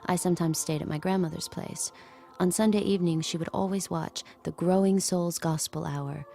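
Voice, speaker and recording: An actress playing a watchful handmaid, narrating her captive life in a measured, intimate way for an audio drama. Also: lowish voice, steady tone, gravelly, warm tone, faint music behind. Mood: wry recollection.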